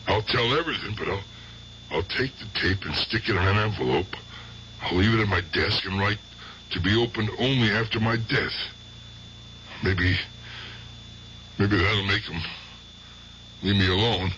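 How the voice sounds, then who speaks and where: An actor playing an aging, guilt-ridden gangster in a radio drama, with rough, halting American speech. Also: male voice, fairly low pitch, animated, pushed and bright. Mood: anxious. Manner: rough colloquial speech, halting, muttering to himself. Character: aging guilt-tormented gangster, gruff but fond uncle. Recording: dull, noisy old radio drama recording.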